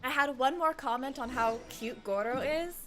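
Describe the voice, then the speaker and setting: A woman's voice: sits high, moderate pace. A woman giving chatty, rambling, playful casual commentary as she plays through a game. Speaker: game streamer. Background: game music.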